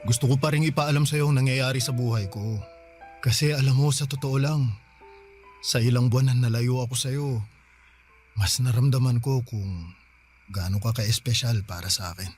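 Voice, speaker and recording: An earnest young bachelor neighbour acted by a man in a radio drama, wooing tenderly. His voice sits at a medium pitch, with prominent music playing underneath. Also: moderate pace, pauses often, a little mellow, lightly acted. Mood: romantic, sincere. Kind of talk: Filipino radio drama acting.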